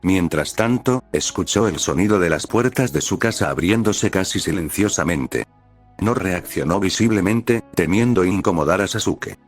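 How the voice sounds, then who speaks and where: Deep-voiced man narrating a story over music. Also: lively intonation, normal speed. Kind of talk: scripted audiobook-style fan fiction narration. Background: background music.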